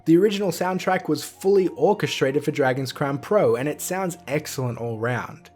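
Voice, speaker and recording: A man's voice: a little high, moderate pace, darkish tone. A man narrating a scripted video game review as the reviewer. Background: music.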